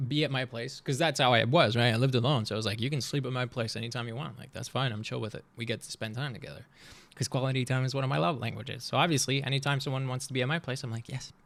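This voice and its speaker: A man in his thirties chatting casually on a live stream, rambling and joking as he reacts to a video, his voice swinging between loud and soft. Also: fairly high pitch.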